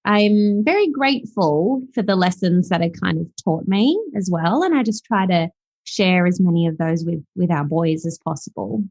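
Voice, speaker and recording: A woman's voice: on the low side, expressive, moderate pace, darkish tone, dry recording. A woman in her thirties from South Africa with an Australian accent, speaking as an interview guest in a reflective way, with frequent fillers. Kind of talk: podcast interview. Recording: dull, dry sound.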